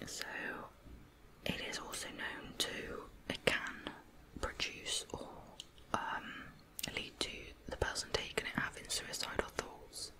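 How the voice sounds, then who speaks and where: Female ASMR host needed for true crime narration, delivered entirely in a breathy whisper with an informal, explanatory touch. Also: low-quality recording. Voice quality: unvoiced whisper.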